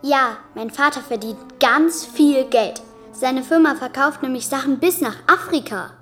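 Abducted young girl, high-pitched, wary yet cheeky and probing, German radio drama. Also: measured pace, fairly bright tone, soft background music. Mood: anxious, probing. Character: abducted eleven-year-old girl, wary but bold and questioning.

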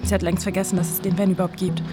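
Eine Schauspielerin spielt in einem Hörspiel eine junge, selbstsichere Frau, die pragmatisch und beruhigend auf ihre Freundin einredet. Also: female voice, on the low side, level tone, rapid-fire, over music, natural voice. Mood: confident. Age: young adult. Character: Leslie, young woman, confident, reassuring, pragmatic best friend. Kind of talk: naturalistic audio drama acting.

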